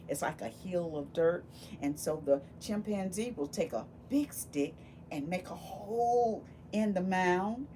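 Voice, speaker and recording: Female children's story reader, very animated intonation, takes her time, addresses kids directly with exclamations, faint noise behind. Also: fairly low pitch. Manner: animated, exclaiming, talks directly to young listeners. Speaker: volunteer children's book reader. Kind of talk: expressive picture-book read-aloud with questions and asides to children. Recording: steady background noise.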